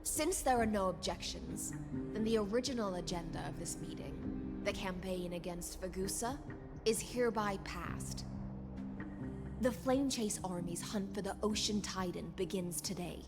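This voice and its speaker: An actress playing a composed, authoritative council official in a game, declaring decrees formally. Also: female voice, medium pitch, moderate pace, close to an everyday voice. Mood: formal, resolute. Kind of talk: scripted game voice acting. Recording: long reverb, music behind.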